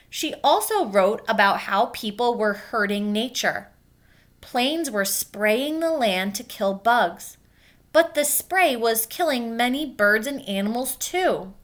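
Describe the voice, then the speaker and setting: A woman teacher reading a children's book aloud and pausing to explain it in simple words for young pupils. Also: mid-range voice, a bit bright.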